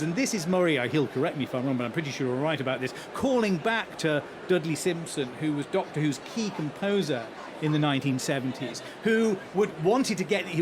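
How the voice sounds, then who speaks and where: A man giving articulate expert explanations as a guest in a live interview at a large concert hall. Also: on the high side. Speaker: guest commentator, broadcaster. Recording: background noise of a busy venue.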